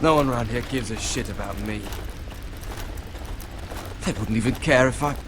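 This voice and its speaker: An actor playing a bitter, abrasive young recruit of a fantasy night watch in a video game, quick to swear and accuse. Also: male voice, mid-range voice, noisy background, natural voice. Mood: anxious, bitter.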